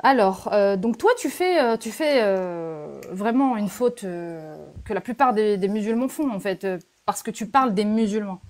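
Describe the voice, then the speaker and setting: A woman in a live debate, speaking haltingly with many fillers as she quotes religious texts and presses her questions. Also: medium pitch, expressive, a little mellow. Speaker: debate guest.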